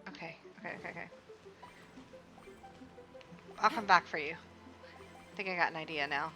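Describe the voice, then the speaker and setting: A woman's voice: low-pitched, quick pace, faint music behind. Chatty swearing woman streamer. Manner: chatty, swears, reacts loudly. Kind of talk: casual livestream gameplay chat.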